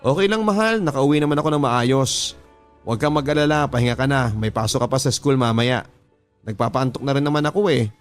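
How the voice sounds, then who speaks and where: A man's voice: medium pitch, brisk, soft background music, lightly acted. A man with a Filipino accent voicing a young, insistent, sweetly deceitful girlfriend in a radio drama. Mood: sweetly reassuring.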